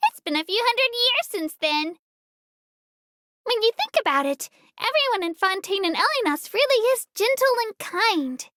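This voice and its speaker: A little female creature working as a ferry tour guide in a fantasy video game. The voice is cute, girlish and extremely high, with animated, swooping intonation. It is eager, polite and full of childlike enthusiasm, captured close and dry. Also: moderate pace, dry recording, exaggerated voice. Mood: earnest, musing. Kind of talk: scripted video game character narration.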